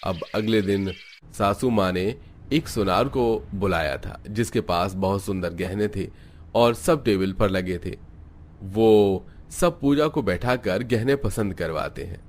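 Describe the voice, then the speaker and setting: A man's voice: lowish voice, takes it slowly. A man narrating a scripted cartoon family story.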